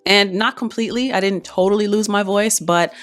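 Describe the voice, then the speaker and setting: A woman's voice: medium pitch, a little fast, a bit bright, faint music behind. A young adult woman, a singer, talking casually to her viewers in a chatty, plain way while she gets her voice back. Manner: chatty, explains plainly, reads some parts aloud. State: recovering voice, partly lost speaking voice. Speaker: singer and vlogger. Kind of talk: casual talk to camera.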